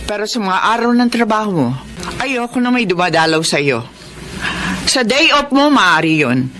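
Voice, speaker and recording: A woman's voice: mid-range voice, animated, normal speed, background noise, lightly acted. An actress playing a strict middle-aged employer and devoted mother in a Filipino radio drama, firm and emotive. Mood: stern.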